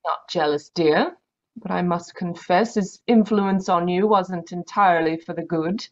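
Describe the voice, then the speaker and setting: A woman playing a genteel, affectionate, gently chiding Victorian doctor's wife in an old-time radio mystery. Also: lowish voice, a little slow, natural voice. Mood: fond reproach. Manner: polite, fond, proper turns of phrase. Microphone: video call. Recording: dull, muffled video-call audio.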